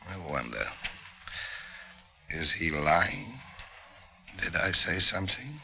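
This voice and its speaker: An actor playing a scheming, murderous doctor with smooth politeness and a measured, hesitant manner in an old-time radio drama. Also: male voice, low voice, very animated, bright, forceful tone, heavily acted. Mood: brooding, secretive. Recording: old radio recording with music behind.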